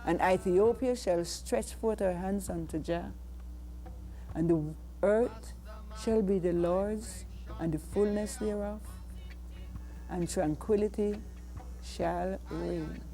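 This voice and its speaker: An older Jamaican woman reciting poetry in a solemn, measured, declamatory manner. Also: mid-range voice, dark tone, faint music behind, low-quality recording. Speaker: poet.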